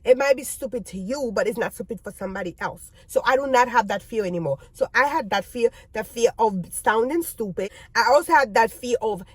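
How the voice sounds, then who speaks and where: A woman's voice: fairly high pitch, animated, brisk, hardly any pauses, slightly rough, dry recording. A native French-speaking woman with a French accent, talking candidly to viewers in a personal vlog, emphatic and repeating phrases for stress. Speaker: video creator.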